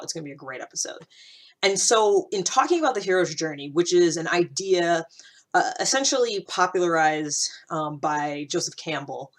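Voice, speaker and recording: A woman host talking through ideas in a casual, reflective monologue, conversational and emphatic, repeating phrases to drive them home. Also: on the low side. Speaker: host of a personal development podcast.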